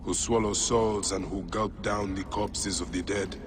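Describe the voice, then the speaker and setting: Low grim egyptian warrior from a game, solemn n determined, noisy background. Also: male voice, fairly even intonation, measured pace. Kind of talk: video game cutscene acting. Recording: noisy background rumble and ambience.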